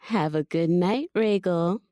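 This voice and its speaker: A woman's voice: on the low side, a little slow, very noisy, natural voice. A woman playing a curt, condescending vampire servant in an audio drama, with a haughty, teasing, commanding manner. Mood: mocking, impatient.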